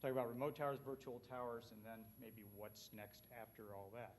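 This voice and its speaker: A man with an American accent giving an informal conference presentation, speaking conversationally with frequent filled pauses. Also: medium pitch, slight background noise. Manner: conversational, colloquial.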